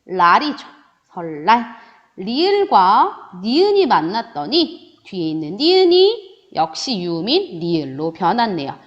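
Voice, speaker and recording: Woman teacher, online lesson, sing-song and lively, muffled lo-fi sound. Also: mid-range voice, unhurried. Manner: lively, rising and falling teacherly sing-song, voices student questions herself. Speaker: Korean grammar teacher. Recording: dull lo-fi recording.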